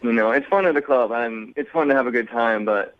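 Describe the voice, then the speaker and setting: A man's voice: mid-range voice, brisk, phone-quality sound, a crowd in the background. A man talking candidly as a guest on a radio phone segment, blunt and sarcastic in an exasperated way.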